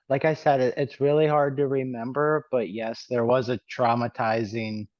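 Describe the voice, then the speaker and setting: A man's voice: medium pitch, level tone, moderate pace, darkish tone, no echo. A man in his thirties, a client giving brief, hesitant answers during a reading over a video call. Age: 30s. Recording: dull, lo-fi video call audio.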